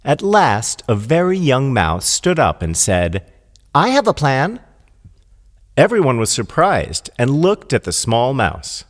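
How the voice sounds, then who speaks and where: A man's voice: medium pitch, sing-song, unhurried, big-room sound. A man narrating a children's fable as a storyteller, reading from a script.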